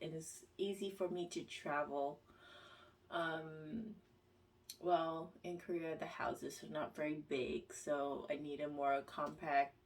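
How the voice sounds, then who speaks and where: A woman talks through a casual question-and-answer vlog in a somewhat low voice. She reads each question aloud and answers slowly, with halting pauses and fillers. Her voice sits a little back from the microphone. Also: darkish tone, recorded from a distance, rough recording. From United States. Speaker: vlogger.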